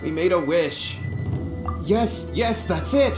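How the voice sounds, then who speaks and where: Nervous, high-voiced cowardly young man. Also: expressive, takes it slowly. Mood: panicked. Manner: nervous, stammering. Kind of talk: video game voice acting. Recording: roomy echo under loud music.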